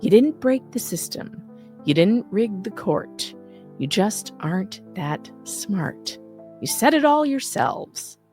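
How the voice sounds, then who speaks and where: A woman delivers a scripted takedown with theatrical sarcasm and open mockery. Her pitch sits in a medium range for a female voice, and faint music plays underneath her reading. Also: very animated, slow, a little mellow. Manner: often laughs while reading. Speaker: host reading an open letter. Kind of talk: sarcastic scripted commentary read aloud.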